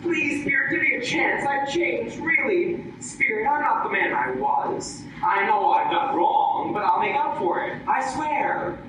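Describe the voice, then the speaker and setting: A man plays a theatrical old miser who begs and wisecracks through a comic radio play. The delivery is unhurried, caught from a distance in a very echoey space with clear noise behind it. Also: extremely high pitch, lively intonation. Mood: desperate pleading. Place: live stage performance, picked up from afar.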